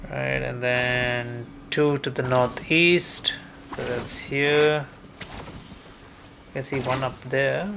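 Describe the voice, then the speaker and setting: A man's voice: medium pitch, steady tone, slow pace, creaky, noisy background. A man giving casual gameplay commentary as a streamer, musing and thinking aloud to himself. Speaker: game streamer. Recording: game music behind the voice.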